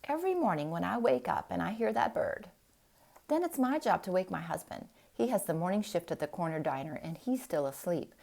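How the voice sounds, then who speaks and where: Lady reading as a cross neighbor woman from a storybook, loud, scolding, laying on the blame. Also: fairly low pitch, very animated, a little fast, gravelly, heavily acted. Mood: angry, indignant. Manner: emphatic, scolding. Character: angry scolding neighbor woman, indignant and accusing.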